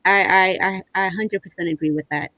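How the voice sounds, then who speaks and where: A woman's voice: mid-range voice, steady tone, fairly quick, pushed and bright, no echo, poor audio. A woman hosting a casual livestream in an enthusiastic, appreciative way. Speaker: host.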